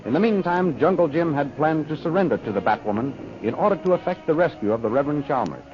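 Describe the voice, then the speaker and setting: A man's voice: fairly high pitch, animated, fast talker. A man narrating dramatically as the announcer of a 1930s radio adventure serial. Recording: dull old radio recording with music. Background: music.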